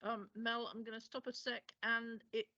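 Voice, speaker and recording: A woman with a bright, slightly high voice explains guidelines to coaches. Her phrasing is careful and emphatic. The audio is a little dull, as on a conference call. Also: flat delivery, moderate pace. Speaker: robotics program advisor on a coaches' call.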